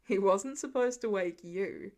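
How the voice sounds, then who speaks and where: A woman voicing a fond, weary, gently stern father in a story reading, with a dry, affectionate manner. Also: mid-range voice, measured pace, pitched below the performer's own voice, lightly acted. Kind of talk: storybook-style character reading.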